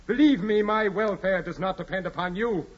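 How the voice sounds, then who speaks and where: An actor playing a proud, imperious aristocratic landowner with formal, measured speech in a 1940s radio drama. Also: male voice, high voice. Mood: imperious, cold. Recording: muffled 1940s radio recording with music.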